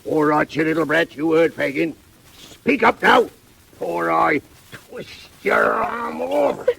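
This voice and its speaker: An actor playing a brutal burglar in a 1940s audio drama, with rough, threatening, uneducated speech. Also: male voice, on the high side, expressive, slow pace, a bit bright, full character voice. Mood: menacing. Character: brutal, snarling burglar, a London thug. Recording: old 1940s record with faint noise.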